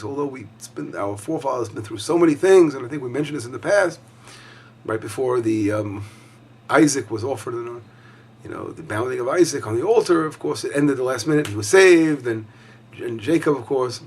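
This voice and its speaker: Hoarse rabbi giving a casual lesson. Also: male voice, mid-range voice, lively intonation, fairly quick. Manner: warm, conversational teaching with many fillers and restarts. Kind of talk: weekly Torah lesson.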